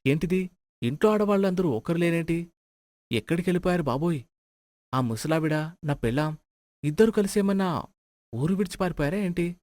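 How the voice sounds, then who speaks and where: Man acting flustered husband, higher male voice, exclamatory, questioning, comic story, dry studio sound. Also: lively intonation, normal speed, pauses often, a little mellow, no room sound, lightly acted. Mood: bewildered. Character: flustered, thrifty son and husband, comically bewildered.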